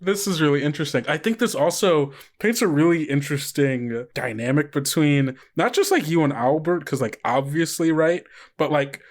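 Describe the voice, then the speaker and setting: A man co-hosting a podcast, casually talking through ideas for a tabletop role-playing game in a halting, think-aloud way. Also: a little high, lively intonation. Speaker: podcast host and game facilitator. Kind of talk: casual unscripted tabletop role-play discussion.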